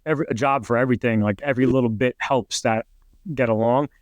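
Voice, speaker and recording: A middle-aged man with a New York accent tells stories in a loose, rambling way, dropping "like" all through and slipping into quick impressions of what people said. His voice sits at an ordinary pitch. The sound is close and dry, with no room around it. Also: fairly even intonation, no echo. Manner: rambling, heavy use of "like", acts out quotes. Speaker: retired fire captain, guest. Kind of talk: casual podcast storytelling.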